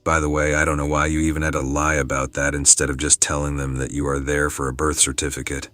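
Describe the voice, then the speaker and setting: Very deep, flat, bright-toned male narrator. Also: fast talker. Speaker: narrator reading forum posts. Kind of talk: scripted narration. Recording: music underneath.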